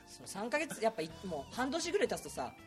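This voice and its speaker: A young man hosts an informal, joking chat show. His pitch moves around a lot and he talks quickly, over faint music. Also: huge pitch swings. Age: young adult. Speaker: podcast host.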